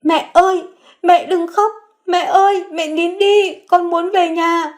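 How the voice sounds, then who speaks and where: A woman voicing a small, thin village boy who cries and begs his mother, in a very high voice. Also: fairly even intonation, takes it slowly. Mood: crying. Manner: whimpering, very high child voice.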